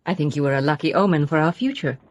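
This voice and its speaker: A woman playing a poised, charming Victorian lady in a radio drama, gracious and coaxing, with a husky voice. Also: sits low, fast, lightly acted.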